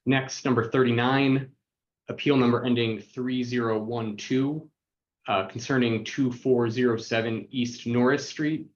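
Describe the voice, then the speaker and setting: A man's voice: medium pitch, steady tone, measured pace. City attorney, male, methodical reader, dull lo-fi call audio, faint hum. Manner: formal, methodical, reads numbers and addresses. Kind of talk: reading case list at a public hearing.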